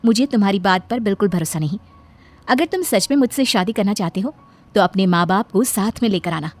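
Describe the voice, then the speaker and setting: A woman's voice: medium pitch, lively intonation, a little fast, a little mellow, lightly acted. A woman voicing a proud, wary young village dancer in a storybook tale, sceptical and challenging. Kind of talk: storybook character dialogue. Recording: background music under the voice.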